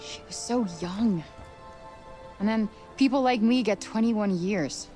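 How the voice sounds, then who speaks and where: A woman's voice: medium pitch, slow pace, mellow, faint music behind, natural voice. An actress playing a sardonic young New Yorker woman in a video game, with an American accent and blunt, slangy delivery. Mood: grieving. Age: young adult. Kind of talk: video game cutscene acting.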